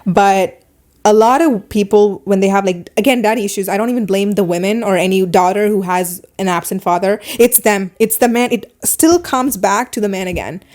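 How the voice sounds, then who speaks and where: Fast-talking opinionated woman podcaster. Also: medium pitch. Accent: foreign accent. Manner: animated, opinionated, talks fast in bursts. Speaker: podcast host. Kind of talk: casual opinion podcast monologue.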